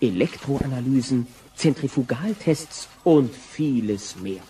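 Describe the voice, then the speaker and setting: An actor narrating a comic dinosaur tale in scripted German audio drama storytelling style. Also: male voice, medium pitch, expressive, slow, mellow, a little hiss or hum.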